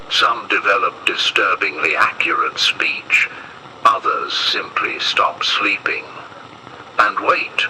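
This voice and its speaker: A man reading a scripted emergency bulletin as horror narration, in a solemn, measured and ominous manner. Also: deep voice, bright, forceful tone, a little hiss or hum, poor audio.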